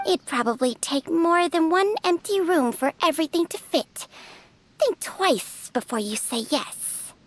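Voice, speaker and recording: High, slow-drawling sardonic little creature. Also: female voice, expressive. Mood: mocking. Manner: teasing, drawling, blunt. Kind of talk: scripted game voice lines. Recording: music behind the voice.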